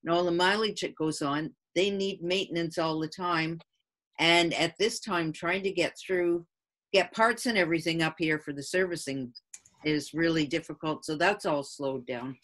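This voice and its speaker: A woman's voice: low voice, normal speed, a bit bright. A woman town councillor talking informally on a video call, with hesitant, loosely structured phrasing. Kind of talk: informal meeting discussion. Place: remote video call from a room.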